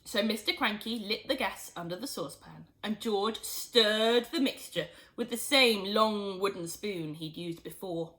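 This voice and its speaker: A woman reading a children's storybook aloud in expressive, scripted narration. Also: mid-range voice, a little slow, few pauses, poor audio. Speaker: storybook reader.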